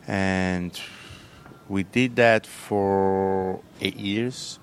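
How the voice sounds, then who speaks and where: A man from southern Italy with an Italian accent, a chef telling his story in an interview, halting and unhurried. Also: low-pitched, fairly even intonation, slight background noise. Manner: halting, unhurried, many fillers and restarts. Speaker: chef and restaurant owner, guest. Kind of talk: relaxed storytelling in a radio interview.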